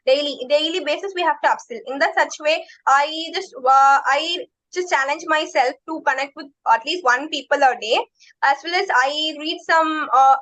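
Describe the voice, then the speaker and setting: Young woman, Tamil accent, high-pitched, polite and hesitant, says ma'am a lot. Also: fairly bright tone, poor audio. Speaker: English learner and YouTuber, interviewee. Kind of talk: conversational interview.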